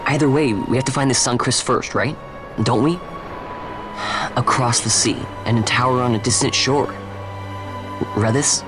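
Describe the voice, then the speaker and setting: A man's voice: medium pitch, moderate pace, pauses often, close to an everyday voice. An actor playing an eager young male adventurer in a video game, with a questioning tone. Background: game score music.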